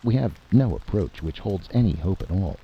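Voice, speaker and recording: A man voicing a quiet, earnest male research physicist in a formal, measured and emphatic way, for a dramatic story. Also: sits low, lively intonation, dull audio, slight background noise, pitched above the performer's own voice. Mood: earnest, frustrated.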